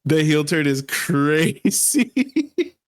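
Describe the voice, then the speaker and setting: A man's voice: on the high side, big pitch swings, extremely slow, no room sound, clean studio sound. A man livestreaming casual reaction commentary in informal slang, often laughing as he talks.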